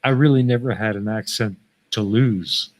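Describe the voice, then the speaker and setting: A man's voice: lowish voice, moderate pace, noisy background. A man with a Canadian accent co-hosting a casual livestream chat, easygoing, with short agreeing replies and laughs. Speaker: co-host of a livestream talk show.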